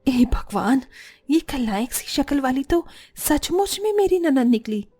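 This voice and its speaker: A woman's voice: high voice, big pitch swings, a little slow, warm tone, voice pushed up. A woman voicing a dutiful young bride who is polite to elders and grumbles to herself, in a cartoon family story. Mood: reluctant, grumbling. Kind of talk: cartoon family drama acting.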